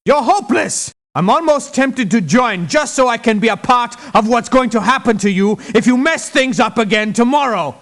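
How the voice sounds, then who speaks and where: An actor voicing an exasperated, dismissive, sarcastic mercenary in a video game. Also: male voice, very high-pitched, expressive, moderate pace, few pauses, fairly bright tone, full character voice. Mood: mocking. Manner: sarcastic, scornful. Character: exasperated, dismissive, sharp-tongued male mercenary. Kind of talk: video game character voice lines.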